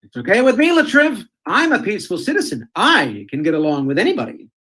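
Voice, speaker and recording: A man's voice: high voice, wildly swooping pitch, fast talker, bright, forceful tone, slightly rough, heavily acted. An actor playing a blustery, touchy, folksy husband in old-time radio comedy, cocky and combative in his delivery.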